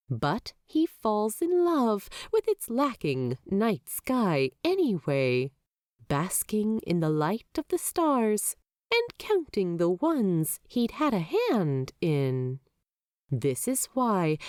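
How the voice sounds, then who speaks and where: A woman's voice: no echo, clean studio sound. A woman reading fan fiction aloud as a podfic narrator, telling the story expressively. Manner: expressive storytelling with wide swings of pitch.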